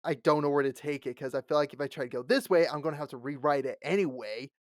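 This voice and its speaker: A man's voice: fairly high pitch, quick pace, dry recording. Late-twenties man, laughing, dry close mic. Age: late 20s. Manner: laughs often, acts out quoted voices. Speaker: podcast co-host. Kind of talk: casual chat.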